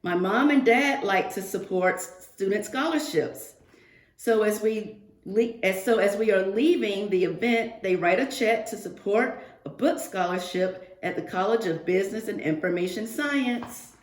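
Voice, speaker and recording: A woman's voice: mid-range voice, a little slow. A woman reading a picture book aloud to young pupils, expressively, with asides and questions addressed to the children. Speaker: guest reader for a second-grade class. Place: indoors, reading remotely to a class. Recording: roomy, echoing sound of a virtual class reading.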